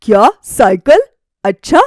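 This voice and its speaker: A bossy, irritated husband acted by a woman, loud and complaining, in a spoken family story. The sound is close and dry. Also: on the high side, big pitch swings, a little slow, no echo. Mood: annoyed.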